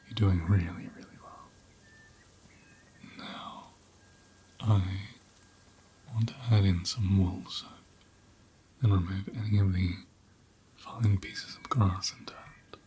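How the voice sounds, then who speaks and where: A man playing a gentle, doting ram caretaker in an ASMR roleplay, speaking softly and tenderly and often slipping into a whisper. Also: sits low, steady tone, takes it slowly, long pauses, slight background noise. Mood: gentle, reassuring. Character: gentle, doting male ram caretaker tending an injured lamb. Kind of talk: ASMR roleplay, soft half-whispered close speech.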